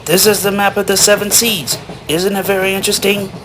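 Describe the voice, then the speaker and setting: Man voicing an eager high-pitched sea pony porter. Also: little pitch movement, fairly quick, fairly bright tone, faint music behind, poor audio. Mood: eager, promotional. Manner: formal, stilted, over-eager salesmanship. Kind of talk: animated cartoon voice acting.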